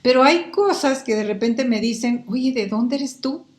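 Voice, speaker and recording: An older woman from Guatemala who speaks with a Mexican accent, a guest telling lively stories in a casual interview. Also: high voice, normal speed, roomy sound, slight background noise. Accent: Mexican Spanish. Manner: animated storyteller, picks up accents.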